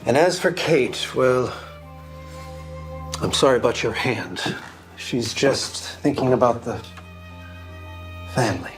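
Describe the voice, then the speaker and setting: A man's voice: mid-range voice, expressive, slow pace, long pauses, soft background music, poor audio. A pompous, scheming aristocratic father. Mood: scolding, relenting. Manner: interrogating, pompous, tags questions with "hmm". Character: middle-aged aristocratic father, stern, scheming, coaxing. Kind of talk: dramatised audio fiction acting.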